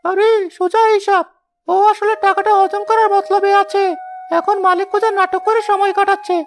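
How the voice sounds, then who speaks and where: A man's voice: very high voice, little pitch movement, a little fast. A man voicing a sly, spiteful villager in a cartoon folk tale, full of insinuation. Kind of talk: animated folk-tale dialogue.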